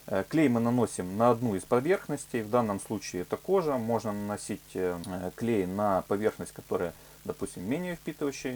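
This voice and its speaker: A man's voice: fairly low pitch, darkish tone. A man presenting an informal hands-on craft tutorial, explaining step by step with hesitant phrasing and filler words. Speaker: leathercraft workshop tutorial presenter. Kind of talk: informal hands-on product demonstration. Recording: clear background noise with hum.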